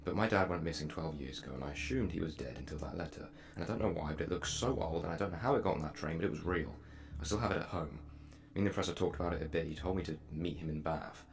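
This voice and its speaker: Actor as low-voiced, fast-talking earnest man. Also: gravelly. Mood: confiding, resolute. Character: earnest, anxious man who travels space and time. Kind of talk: audio drama acting.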